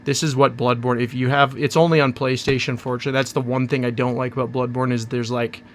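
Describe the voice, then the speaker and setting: A man hosting his own channel, chatting casually and rambling through an unboxing, hoarse from a cold. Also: mid-range voice, flat delivery, quick pace, a little hiss or hum. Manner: rambling, chatty, self-interrupting. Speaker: channel host.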